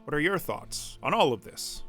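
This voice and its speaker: A man at a tabletop roleplaying game speaks in a bright, forward voice. His pitch moves around a lot while his pace stays slow, with music playing behind him. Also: big pitch swings. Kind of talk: in-character roleplay discussion.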